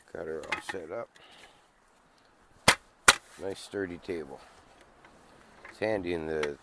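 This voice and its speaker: Slow, deep-voiced congested man outdoors. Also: very noisy. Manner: rambling, easygoing, thinks aloud. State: congested from a cold. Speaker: outdoor vlogger. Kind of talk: casual camp chat to camera. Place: outdoors in the woods.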